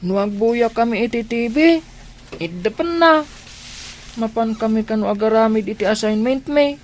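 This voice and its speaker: A woman's voice: on the high side, expressive, normal speed, close to an everyday voice. Woman as innocent child, Ilocano accent, pleading, simple speech, radio drama, music behind, some noise. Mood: innocent, complaining. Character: innocent young child talking to Daddy.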